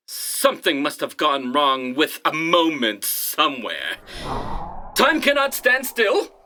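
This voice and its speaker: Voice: mid-range voice, bright, forceful tone, full character voice. Imperious, melodramatic theatrical boss, swooping wildly. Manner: haughty, declamatory, drawn-out emphasis. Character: imperious, melodramatic theatrical director of the celestial traffic department. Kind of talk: comic audio drama acting.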